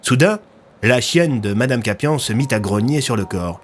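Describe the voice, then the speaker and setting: A man's voice: mid-range voice, expressive, normal speed, slight background noise. A man narrating a scripted audio drama as a storyteller.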